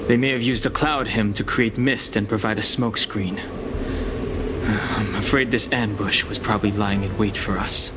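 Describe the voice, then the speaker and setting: Man as a low-voiced, reserved young warrior. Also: fairly even intonation, heavy background noise, natural voice. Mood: wary. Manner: formal, measured, serious. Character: reserved, earnest young dragon-descended warrior, formal and dutiful. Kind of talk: video game character voice acting. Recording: loud music behind the voice.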